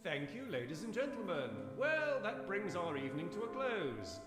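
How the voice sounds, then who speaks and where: Animated male announcer in an echoey hall. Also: high voice, moderate pace. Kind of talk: formal scripted closing announcement to an audience. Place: live performance hall. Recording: echoey hall, music behind.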